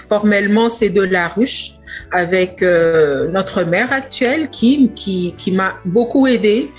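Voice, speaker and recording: A young woman from the Democratic Republic of the Congo with a Congolese accent, giving a measured personal testimonial with some halting repeats. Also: medium pitch. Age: young adult. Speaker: entrepreneur, grocery store owner. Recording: dull lo-fi sound, faint music.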